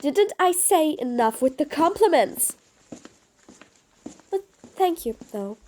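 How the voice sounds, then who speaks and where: Woman acting a high-voiced sardonic female supervillain. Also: lively intonation, slow pace, dark tone. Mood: grudging, teasing. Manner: sarcastic, dry, exasperated. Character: mean but patient female supervillain, sardonic, grudgingly soft. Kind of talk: audio roleplay acting addressed to the listener.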